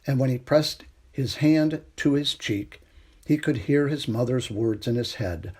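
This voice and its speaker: A man serves as storytime reader, narrating a children's book with expression. His voice sits at a middle pitch and has a husky, less clear tone. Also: measured pace, darkish tone.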